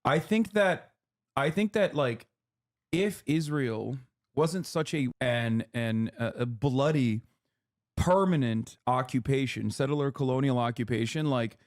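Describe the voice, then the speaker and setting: A man in his thirties streaming live, explaining history in a rapid, lecturing way with many fillers and restarts. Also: medium pitch, a little mellow. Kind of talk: casual livestream explanation.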